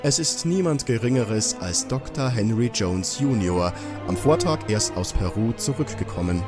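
A man's voice: lowish voice, animated, normal speed. A man narrating an audio drama from a script. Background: music behind the voice.

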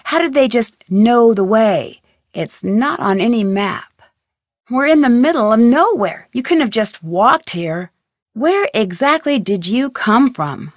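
A curious young detective for a mystery video game. Her voice sits fairly high and is recorded dry and close, with no room around it. She probes with steady questions and a wry, teasing wit. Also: unhurried, no room sound.

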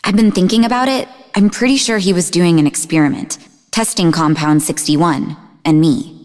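An actress playing a sarcastic, sharp-tongued young woman scientist in a video game, with a mocking, snappy manner. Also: lowish voice, steady tone, normal speed, lightly acted. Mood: earnest, defensive. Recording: long reverberant hall echo.

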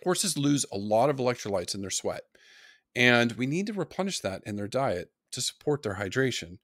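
Man giving a lively horse-care lecture. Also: mid-range voice, normal speed, no room sound. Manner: practical, advice-giving explanation. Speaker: equine nutrition lecturer. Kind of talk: online course lecture.

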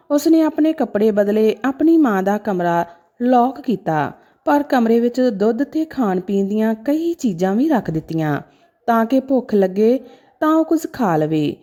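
A woman's voice: fairly high pitch, dark tone. A woman narrating a scripted, sentimental story in a dramatic storytelling style.

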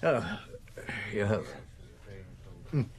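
Radio drama inspector: shrewd, deliberate, wry, fairly low voice with lively inflection. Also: male voice, very noisy, full character voice. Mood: probing, wry. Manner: musing, deliberate, wry, hesitant pauses. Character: French police chief inspector, shrewd, unhurried, quietly probing. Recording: old radio broadcast.

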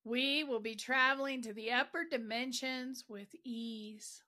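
A woman leading a guided meditation, narrating in a gentle, soothing and deliberate manner. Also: fairly high pitch, fairly even intonation.

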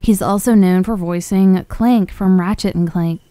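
A woman's voice: medium pitch, steady tone, measured pace, background noise. A woman hosting a gaming video with casual, chatty explanatory commentary, recorded as a close voice-over. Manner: chatty, conversational.

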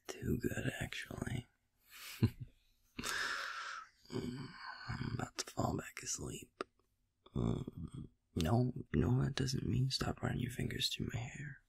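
A man voicing a drowsy, affectionate young werewolf boyfriend in intimate roleplay ASMR, murmuring casually in a husky, gravelly, breathy half-whisper. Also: medium pitch, a little mellow, no room sound, exaggerated voice. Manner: drowsy, hesitant, casual mumbling with swearing. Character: sleepy, affectionate, self-deprecating young male werewolf boyfriend.

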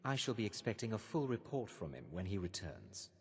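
An actor playing a haughty, suspicious elf mage advisor in a video game, speaking in an imperious, clipped, condescending way. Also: male voice, lowish voice, very animated, a little fast, a little mellow, slight background noise. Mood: cold, dismissive. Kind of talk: video game dialogue acting. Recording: long hall reverb.

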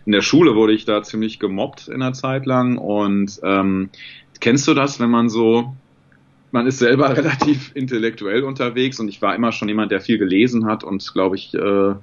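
Male guest, forties, low voice, reflective and hesitant, muffled home video-call sound. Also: moderate pace. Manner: reflective, thinks aloud with many fillers and restarts. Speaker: guest voice actor. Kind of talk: casual video-call interview. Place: home room with bookshelves.

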